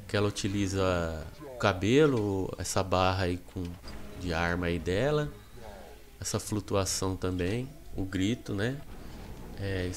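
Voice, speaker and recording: A man with a Brazilian accent giving casual commentary as host of a gaming channel. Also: sits low. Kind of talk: casual video game showcase commentary. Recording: voice-over with faint noise.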